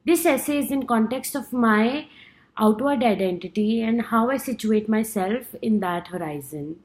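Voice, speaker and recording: A woman's voice: fairly high pitch, animated, normal speed, a little mellow. A young woman from India with an Indian accent, earnestly reading her class speech with small stumbles. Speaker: student.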